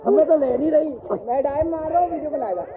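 A young person joking around at an outdoor swimming pool, teasing and excitable. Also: high-pitched, fast talker, phone-quality sound. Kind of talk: casual chat among family. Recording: distant voice with loud crowd and water noise.

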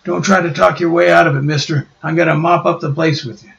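Man putting on a pushy storybook tough guy, high and loud, surly and taunting; muffled roomy take. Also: a little fast, vocal fry. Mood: angry taunting. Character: Ben the Thing, gruff surly strong man. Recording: dull roomy home recording.

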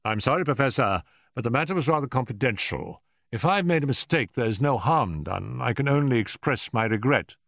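An urbane, unflappable gentleman detective performed by a male narrator, wry and polite. The pitch sits above a natural male voice and moves expressively, as in a classic storybook reading. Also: animated, a little fast, creaky, no echo, clean studio sound. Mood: polite, firm.

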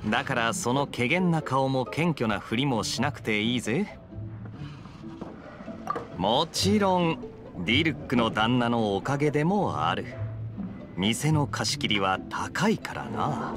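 Suave, lively young captain. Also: male voice, mid-range voice, unhurried. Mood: playful. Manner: smooth, playful, nonchalant. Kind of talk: Japanese game voice acting. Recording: loud game music behind.

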